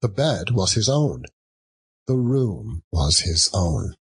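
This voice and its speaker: Husky, animated male storyteller, unhurried. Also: low voice. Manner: measured, dramatic. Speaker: audiobook narrator. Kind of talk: theatrical storybook narration.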